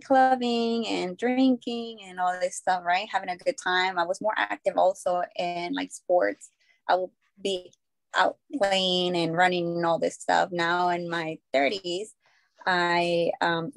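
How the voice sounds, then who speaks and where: Woman, thirties, low voice, rambling guest in a dry, close recording. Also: gravelly, no room sound, rough recording. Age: 30s. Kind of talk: casual group chat.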